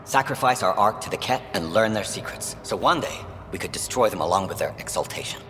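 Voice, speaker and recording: An actor playing a proud, calculating alien soldier and traitor in a video game, arguing with grandiose persuasion. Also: male voice, on the low side, expressive, brisk, fairly bright tone, long reverb tail, a little hiss or hum. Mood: urgent, persuasive. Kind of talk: dramatic video game dialogue.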